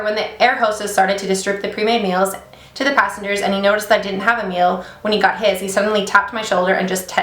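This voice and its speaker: A young woman hosts her channel from a small home office, reading a story aloud in a quick, chatty way. She breaks off often for blunt, swearing reactions. Her voice carries a noticeable room echo. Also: medium pitch, fairly bright tone. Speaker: beauty channel host reading stories. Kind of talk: casual vlog storytelling with asides.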